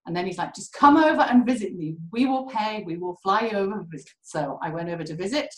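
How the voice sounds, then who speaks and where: A British woman telling candid stories in an interview, animated and quick to laugh. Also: medium pitch, reverberant, poor audio. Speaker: core facility director. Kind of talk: candid conversational storytelling.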